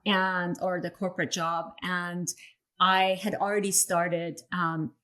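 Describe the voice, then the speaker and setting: A woman from Iran, a coach for parents of sensitive children, answering interview questions in a thoughtful way with many pauses and "um"s. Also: fairly low pitch, level tone, bright, forceful tone.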